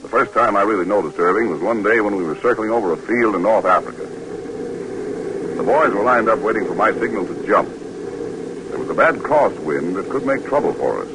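An actor playing a steady paratroop lieutenant, narrating his war memories admiringly in a 1940s radio drama. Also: fairly low pitch, a little fast, noisy background. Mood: reflective, grave. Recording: old radio recording.

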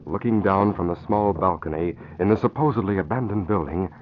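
A muffled vintage radio recording with faint noise features a man narrating. His voice sits fairly low, and he tells the story in a dramatic, scripted 1940s serial style. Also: fairly quick.

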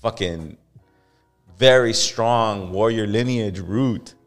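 A man's voice: on the low side, takes it slowly, soft background music. A man hosting a casual podcast chat, laid-back and loose, calling his guest bro and swearing freely. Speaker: podcast host. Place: podcast studio in Los Angeles.

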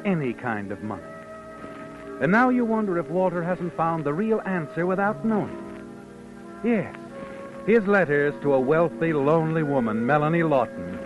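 A man's voice: a little high, sing-song. Ominous old-radio male narrator over music. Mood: ominous, insinuating. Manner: insinuating, deliberate, dramatic second-person telling. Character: ominous male narrator addressing the scheming man. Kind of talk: 1940s-50s radio mystery narration. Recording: muffled old radio recording, music behind. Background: dramatic music.

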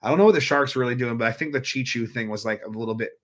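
Dude from norcal, 30s, cusses a ton, goes off on tangents, casual podcast guest. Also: male voice, mid-range voice, racing. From Northern California. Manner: rambling, profane, riled-up. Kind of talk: casual chat.

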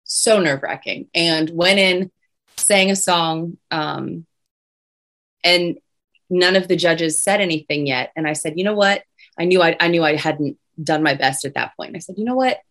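A young woman singer with a low voice, chatting casually as an interview guest. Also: moderate pace, a bit bright. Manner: chatty, many restarts and fillers.

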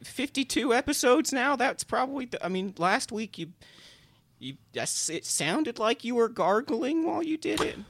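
A man's voice: extremely high pitch, very animated, normal speed, gravelly, fairly bright tone, no room sound, heavily acted. A man playing an eager, over-apologetic non-human podcast producer, stammering and flustered in comedy banter. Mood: eager, encouraging.

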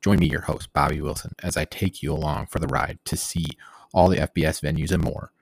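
A man's voice: rumbling low, fairly even intonation, a little fast, creaky, husky, no room sound, clean studio sound. A man reading the scripted intro to his own podcast as its host. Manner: reads a prepared promo.

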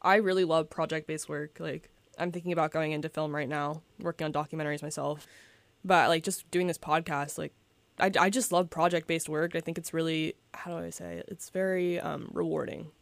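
A young podcast host asking questions in a relaxed, supportive way, with "like" and "um" fillers. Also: medium pitch, fairly quick, creaky, no echo. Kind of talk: casual podcast interview.

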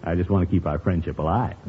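An actor playing a pompous, sociable middle-aged uncle in a 1950s radio sitcom, theatrical and avuncular. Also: male voice, deep voice, steady tone, quick pace, lightly acted. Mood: pleased. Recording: tinny, noisy old radio recording.

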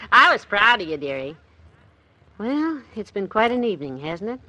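A woman's voice: mid-range voice, sing-song, normal speed, sharp tone. A woman playing a sensible American wife in a 1950s radio comedy, scolding but fond. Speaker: comedy actress in a radio sitcom. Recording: old radio recording with faint noise.

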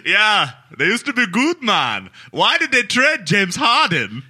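A man's voice: high-pitched, wildly swooping pitch, unhurried, bright, forceful tone, husky, voice pushed up, full character voice. A man voicing a chatty young Jamaican Uber driver with a put-on Jamaican accent.

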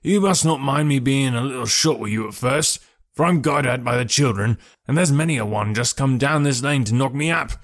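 A man voicing a cranky, lanky old male animal keeper who yells threats and then chats fussily. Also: mid-range voice, fairly quick, close to an everyday voice. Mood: fussy, welcoming. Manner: shouting threats, then fussy and chatty.

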